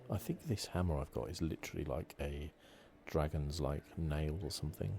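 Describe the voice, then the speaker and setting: A man giving relaxed ASMR-style game commentary with wry asides, speaking softly into a close microphone. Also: extremely low pitch, moderate pace, vocal fry. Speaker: gaming video host. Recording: loud game music behind.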